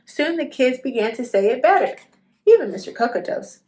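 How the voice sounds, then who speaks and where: A woman reading a children's picture book aloud as an expressive narrator. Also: high-pitched, a little fast.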